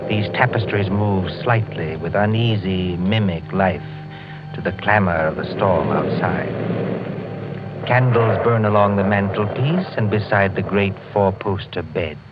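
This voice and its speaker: A man's voice: low voice, a little slow. A man narrating a gothic radio mystery as a grave, atmospheric storyteller. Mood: brooding. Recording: muffled old radio recording under loud music.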